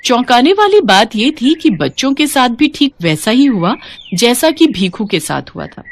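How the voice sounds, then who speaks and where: A woman narrating a scripted children's story in an expressive storytelling style. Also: a little high, normal speed.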